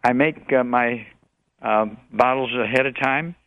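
Radio guest, male, 80s: gravelly, rambling list-maker, thin telephone sound. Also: medium pitch, a little slow, no room sound. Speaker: guest health supplement promoter. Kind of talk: radio call-in question and answer. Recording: narrow phone-like line.

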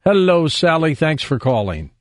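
A man hosting a call-in radio show, briskly introducing guests and callers in a friendly way. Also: a little high, big pitch swings, no room sound, clean studio sound. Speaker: radio host.

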